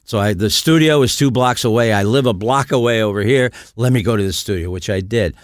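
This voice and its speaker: A man's voice: fairly low pitch, fairly quick, dry recording, studio quality. An older man from the US Midwest, a guest musician telling long anecdotes with many restarts. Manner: rambling anecdotes, frequent restarts. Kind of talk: storytelling in conversation.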